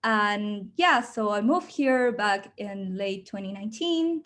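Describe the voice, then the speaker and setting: A woman's voice: mid-range voice, animated, slow pace, a bit bright. A woman from Mexico with a strong Mexican accent, hosting an onboarding video call in an upbeat, chatty way with many fillers. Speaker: program host. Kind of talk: casual video call presentation.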